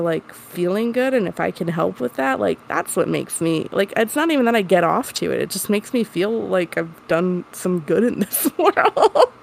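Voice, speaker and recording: A woman with a deep voice and a lisp, chatting candidly as an interview guest in a rambling way full of restarts. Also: creaky, a little hiss or hum, low-quality recording. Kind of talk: candid casual chat.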